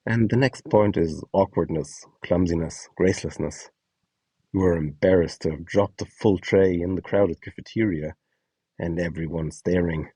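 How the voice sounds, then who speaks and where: A man's voice: deep voice, normal speed, dark tone, husky, no echo, far from the mic. A man reading a scripted instructional guide, acting out short example lines as he goes. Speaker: instructor presenting a voice donation guide.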